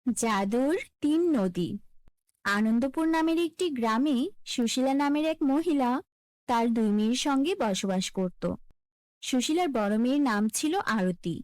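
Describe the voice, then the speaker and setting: A woman's voice: a little high, lively intonation, measured pace, darkish tone, dry recording. A woman narrating a scripted fairy tale as a storyteller.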